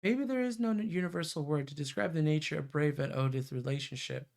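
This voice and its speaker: A man's voice: on the high side, fairly quick, gravelly, a little mellow. A man reading visual novel narration aloud as a streamer.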